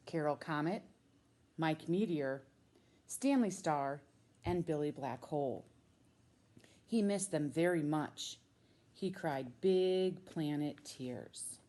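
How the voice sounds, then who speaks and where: A woman with an American accent, a teacher reading a storybook aloud to children with expressive, drawn-out emphasis on key words. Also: deep voice. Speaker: middle school science teacher reading a children's book.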